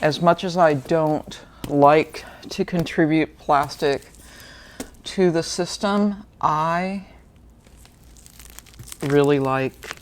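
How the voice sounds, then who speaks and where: A woman's voice: low-pitched, a little hiss or hum. A woman, hosting a book channel and chatting casually through an unboxing with fillers and small laughs. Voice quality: slightly gravelly.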